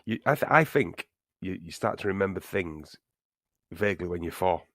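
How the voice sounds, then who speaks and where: Deep, croaky man talking hesitantly. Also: animated, fairly bright tone, no echo, studio quality. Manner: hesitant, halting with fillers. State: hoarse from a chesty cold. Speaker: podcast co-host. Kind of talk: casual chat.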